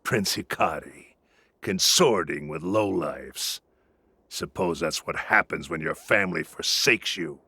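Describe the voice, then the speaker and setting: Gravelly, arrogant drunken captain. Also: male voice, sits low, bright, forceful tone, no room sound, exaggerated voice. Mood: sneering. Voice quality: gravelly, raspy. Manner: sneering, slow, swaggering. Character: arrogant, bullying drunken army captain, male villain. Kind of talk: scripted video game voice acting.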